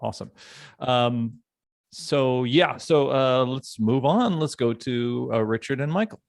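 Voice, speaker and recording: Podcast host guy, bit of fry in the voice, chatty, dry close recording. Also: medium pitch, unhurried, no room sound. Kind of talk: casual chat.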